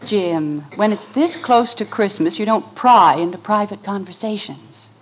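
An actress playing a gentle, sensible middle-aged mother in a 1950s family radio comedy. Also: mid-range voice, expressive, measured pace, natural voice. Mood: kindly, tactful. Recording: slightly noisy old radio broadcast.

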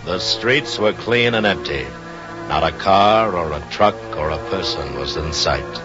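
An actor narrating a suspense radio drama as a grave, literary storyteller, measured and atmospheric. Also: male voice, low-pitched, animated. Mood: grave, suspenseful. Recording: muffled old radio recording. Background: music.

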